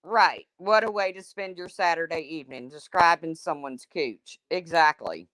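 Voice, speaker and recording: A woman with a deep, husky smoker's voice hosting a livestream, reading chat comments and answering them in a blunt, chatty way. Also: moderate pace, fairly bright tone, no room sound, low-quality recording. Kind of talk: casual chat with viewers.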